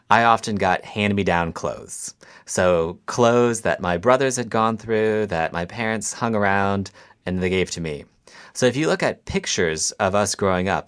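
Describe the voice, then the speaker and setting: A man who is a scientist guest, calmly and patiently explaining an idea to children with everyday examples. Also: on the low side.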